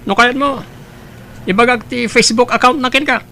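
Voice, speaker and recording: Actor as coaxing young male pal, extremely high bright voice, audio drama. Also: fairly even intonation, moderate pace, slight background noise, full character voice. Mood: chummy, advising. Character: chummy, persuasive young male matchmaking buddy. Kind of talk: Ilocano radio-style audio drama acting.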